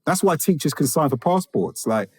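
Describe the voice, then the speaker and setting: A man's voice: fairly high pitch, animated, moderate pace, darkish tone, husky, no room sound. A man from England, a teacher and author, speaking reflectively as a podcast guest, often restarting and building emphasis. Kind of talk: conversational interview.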